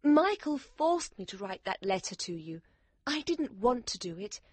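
Man voicing an anxious, breathy high-pitched woman. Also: sing-song, a little slow, dry recording, full character voice. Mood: nervous, urgent. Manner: urgent, nervous. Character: anxious, pale, lovelorn woman warning of danger. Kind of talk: audiobook character voice.

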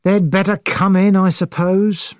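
A man's voice: high-pitched, flat delivery, unhurried, dry recording, clean studio sound, voice pushed up. A man voicing a grave, grudging police inspector with a formal, questioning manner in a storybook reading.

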